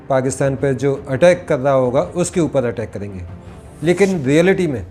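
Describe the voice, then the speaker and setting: A man giving conversational news commentary, speaking deliberately, phrase by phrase, as a host addressing viewers. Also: medium pitch, warm tone.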